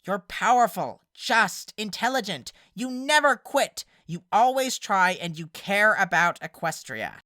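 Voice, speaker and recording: A woman's voice: medium pitch, lively intonation, unhurried, few pauses, bright, forceful tone, dry recording, clean studio sound. A woman voicing a frank, wry older-sister pony, a former ruler, in a cartoon parody. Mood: confessional.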